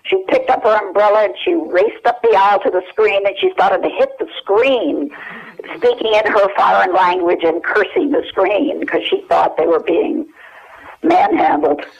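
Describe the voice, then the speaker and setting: A woman's voice: medium pitch, expressive, normal speed, vocal fry, husky. Older woman reminiscing over the telephone. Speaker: interview guest. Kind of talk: anecdotal reminiscence in an interview. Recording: dull telephone line.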